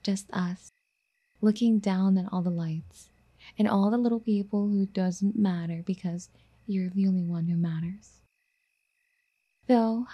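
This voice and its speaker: Woman acting as a soft, husky yandere girlfriend. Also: medium pitch, lightly acted. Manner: cooing, coaxing pet-name sweet talk. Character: doting, obsessive, clingy yandere girlfriend, wealthy and apologetic. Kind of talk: ASMR girlfriend roleplay, soft and half-whispered.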